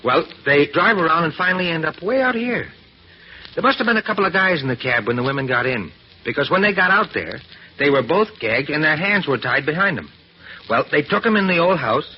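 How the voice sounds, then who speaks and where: An actor playing a breezy, wisecracking sidekick in an old radio drama, casual and slangy. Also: male voice, a little high, lively intonation, fairly quick, lightly acted. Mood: brisk, informal. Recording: muffled, hissy vintage radio recording.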